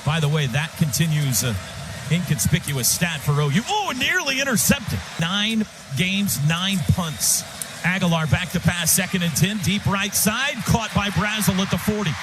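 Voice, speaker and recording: A man doing live radio play-by-play of college football, calling action in short, urgent, excitable phrases that swell into shouts. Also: sits high, moderate pace, background noise.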